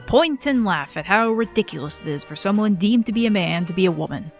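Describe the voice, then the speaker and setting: A woman narrating a scripted video essay in a measured, earnest way. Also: mid-range voice, animated, faint music behind.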